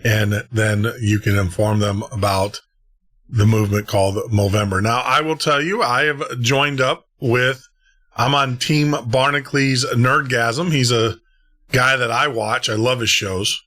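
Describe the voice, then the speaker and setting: A man's voice: medium pitch, fairly even intonation, a little slow, pushed and bright. A man hosting a late-night radio show and podcast, chatting casually, rambling and laughing at himself. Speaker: host.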